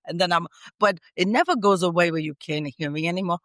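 A middle-aged woman with a raspy, strained voice, talking eagerly and emphatically as a guest on a podcast interview. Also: fairly low pitch, fast talker, a bit bright, no room sound, studio quality. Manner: talkative, emphatic and repetitive. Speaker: guest, speech pathologist.

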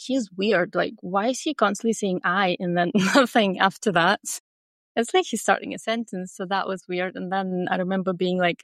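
A woman from Russia with a Glaswegian accent talks casually as an interview guest. Her native language is Russian, and her voice has a noticeable creak. She is chatty, telling personal stories, and the recording is very dry. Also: medium pitch, no echo. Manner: chatty, laughs often while talking.

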